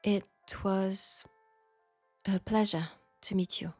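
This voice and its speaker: A woman with a French accent playing a frank, perceptive seamstress in an intimate steampunk audio drama, speaking softly and deliberately with pauses. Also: mid-range voice, steady tone, no echo, soft background music. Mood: politely composed. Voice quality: soft, breathy. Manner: hushed, deliberate, with pauses and breathy sighs. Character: frank, perceptive young Parisian seamstress, gently reproachful. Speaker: audio roleplay performer.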